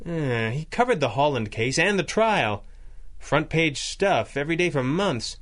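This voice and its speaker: A man playing a stern masked hero with a measured, formal, authoritative delivery in a 1930s-set radio drama. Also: a little high, big pitch swings, a bit bright. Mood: brisk, commanding. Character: stern, commanding masked male crime-fighter hero.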